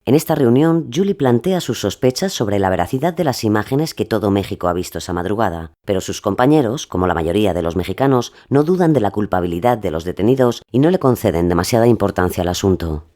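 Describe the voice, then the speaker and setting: A male podcast narrator with a lowish, mellow voice tells a documentary story. His intonation moves a lot, and the scripted delivery is measured. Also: lively intonation. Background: faint music.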